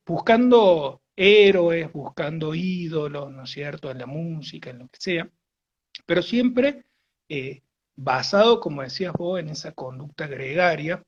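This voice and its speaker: A man's voice: fairly high pitch, lo-fi sound. A man with an Argentine Spanish accent giving a virtual lecture, explaining with many fillers and rephrasings.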